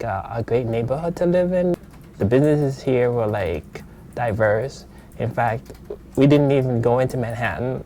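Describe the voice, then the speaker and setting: A man in his fifties from Jamaica speaks in a relaxed, conversational way, remembering the past. His voice is dark and mellow, at a medium pitch. A faint hiss is heard behind him. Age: 50s. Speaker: documentary interviewee. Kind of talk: reminiscing.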